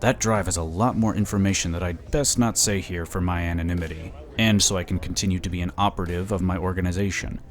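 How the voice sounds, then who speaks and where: An actor playing a slick, smug lobbyist in a sci-fi audio drama, chatty and condescending. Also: male voice, low voice, steady tone, fast, hardly any pauses, faint music behind, natural voice. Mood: confiding, scheming. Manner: chatty, smooth-talking, condescending. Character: smug, slick, scheming male political lobbyist.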